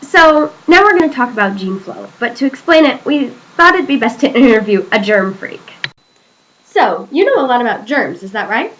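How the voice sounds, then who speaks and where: A woman's voice: a little high, sing-song, moderate pace, a bit bright, low-quality recording. A teenage girl hosting a school project skit, explaining cheerfully. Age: teens. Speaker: student interviewer.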